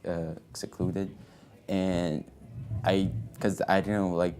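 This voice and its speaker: A young man from Brazil, a student, reflecting on learning in halting speech with many restarts. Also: low-pitched, little pitch movement, darkish tone, a little hiss or hum. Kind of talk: reflective interview answer.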